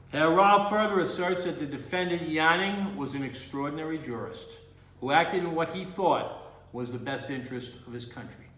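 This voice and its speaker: A man's voice: on the high side, fairly bright tone. Man acting solemn judge in an echoey room. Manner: solemn, measured, emphatic oratory. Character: grave, morally resolute male judge delivering a verdict. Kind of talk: theatrical courtroom monologue. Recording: roomy, echoey indoor room.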